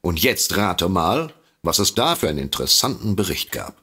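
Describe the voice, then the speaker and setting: A droll writer-sidekick for a comic radio play. The voice is low and raspy, with very lively melody. He teases smugly and lingers on his emphasis. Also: normal speed, heavily acted. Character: droll, self-satisfied male writer and detective's sidekick. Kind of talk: comedic audio drama acting.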